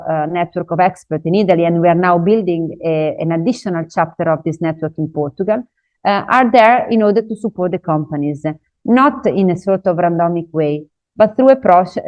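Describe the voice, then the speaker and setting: Hesitant woman presenting a webinar, low mellow voice. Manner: hesitant, frequent "uh" fillers, non-native English phrasing. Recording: dull lo-fi webinar audio.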